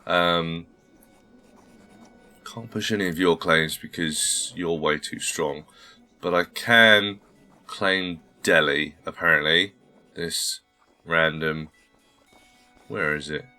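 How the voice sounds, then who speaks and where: Guy with a low voice narrating his strategy game, bit under the weather, faint music behind. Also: slow pace, pauses often, pushed and bright. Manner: polite, formal greetings, thinking aloud. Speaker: gaming channel host. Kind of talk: casual let's-play commentary.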